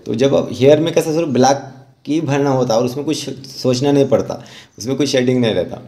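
Man with a mellow, subdued voice. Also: mid-range voice. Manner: informal, halting, with English terms mixed in. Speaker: rangoli art instructor. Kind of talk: step-by-step tutorial narration.

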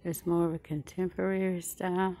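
A woman's voice: on the low side, level tone, a little fast, mellow. A woman shopping vlogger giving casual running commentary as she browses a large furniture showroom.

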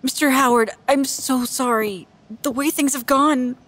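A woman's voice: sits high, steady tone, measured pace, a bit bright. A woman playing an earnest, worried character in serious audio drama dialogue.

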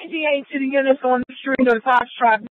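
A woman's voice: sits high, steady tone, fairly quick, no room sound. A woman working as a police dispatcher, relaying information over police radio. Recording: tinny, narrowband police radio scanner feed.

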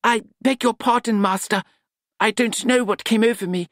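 A man's voice: high voice, steady tone, moderate pace, fairly bright tone, no echo, studio quality, voice pushed up. A man voicing a meek, cheerful young servant in an audiobook, deferential and earnest.

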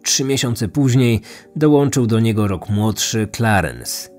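A man's voice: lowish voice, expressive, a little slow, darkish tone. A man narrating a scripted true crime story as a podcast host. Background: background music.

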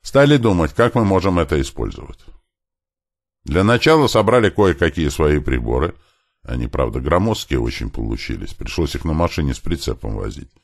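A man's voice: rumbling low, sing-song, brisk, no echo, clean studio sound, pitched above the performer's own voice, exaggerated voice. A man voicing an earnest male professor in an audiobook, explaining things politely and with emphasis. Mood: earnest, emphatic.

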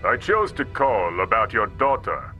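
An actor playing a cold, condescending male corporate villain who makes quiet threats, in an audio drama. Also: fairly low pitch, big pitch swings, measured pace, faint music behind, full character voice. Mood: menacing. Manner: smooth, condescending, coolly threatening.

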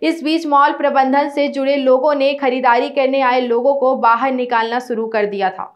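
A young woman news anchor reading a scripted report in a steady, formal way from a studio. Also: high voice, normal speed, dull audio.

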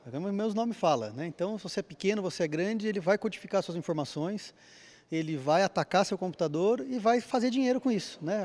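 A man's voice: high-pitched, darkish tone. A man in his forties giving explanatory interview answers as an IT security speaker, often tagging "né?", into a handheld mic in a large indoor hall. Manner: explanatory, rapid, repeats "né?".

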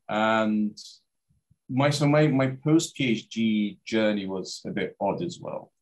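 A man's voice: deep voice, low-quality recording. A man in his thirties from Iraq, a scholar speaking in a video-call interview from a study with bookshelves, hesitant with many fillers and restarts. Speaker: guest scholar. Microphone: webcam on video call.

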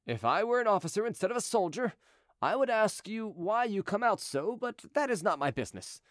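An anxious male palace sentry, played by a male narrator from a storybook. His voice is high and swooping, deferential and persuasive, recorded dry. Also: very animated, a little fast, dry recording. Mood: pleading. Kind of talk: audiobook character dialogue.

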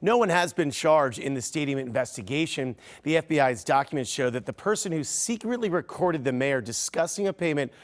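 A man reporting a political story for local TV news from the studio, in a measured newscaster delivery. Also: on the high side, lively intonation.

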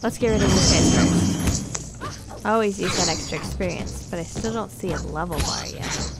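Female gaming host, casual play-along commentary, noticeable creak, clear game music underneath. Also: medium pitch, normal speed.

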